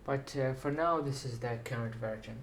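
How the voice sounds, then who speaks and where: A man with a sore throat talking in a rambling, halting monologue to his viewers, often repeating words as he introduces his own writing. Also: mid-range voice, slight background noise. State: sore throat, slight fever. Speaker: writer introducing and reading own story. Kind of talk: slow rambling video monologue.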